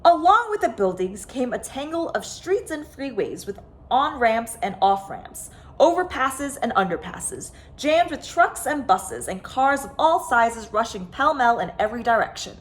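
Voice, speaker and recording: A woman reading a children's picture book aloud, expressive and dramatic, stretching words for emphasis. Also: fairly high pitch, measured pace, hardly any pauses. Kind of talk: animated children's story reading.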